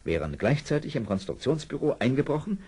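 An actor playing a calm, authoritative undercover policeman in a children's radio play. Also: mid-range voice, brisk, slightly rough. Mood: reassuring, firm.

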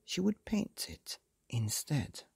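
A man hosting a bedtime story podcast, reading aloud in a soft, breathy half-whisper that lilts gently as he goes. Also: fairly high pitch, sing-song, no room sound. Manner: slow, gentle and lilting.

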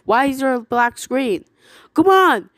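A woman's voice: sits high, lively intonation, a little slow, a bit bright, dry recording, clean studio sound. A woman giving theatrical, exclamatory reactions as a player in a horror parody.

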